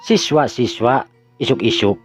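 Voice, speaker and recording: A man's voice: mid-range voice, measured pace. A man with a Sundanese accent narrating a folk tale, drawn out, with stumbles. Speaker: storyteller. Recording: dull lo-fi audio with faint music.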